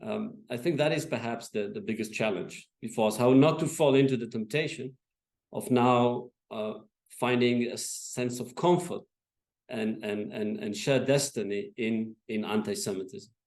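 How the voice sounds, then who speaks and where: A man speaks at an academic panel in a mid-range voice. He is measured and thoughtful, pausing on fillers and restarting phrases as he works through his argument. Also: medium pitch, a little mellow. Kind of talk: lecture remarks.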